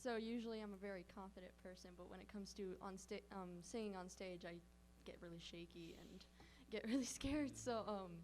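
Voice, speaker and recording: A woman's voice: medium pitch, fairly quick, few pauses, darkish tone, slight background noise. A woman speaking candidly and chattily at a convention panel.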